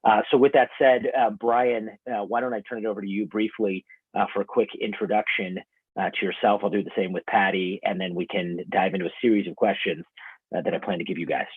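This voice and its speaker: A man moderating a panel, speaking in a measured, halting way. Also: on the low side, steady tone, husky, no room sound. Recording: tinny, dry phone-like line.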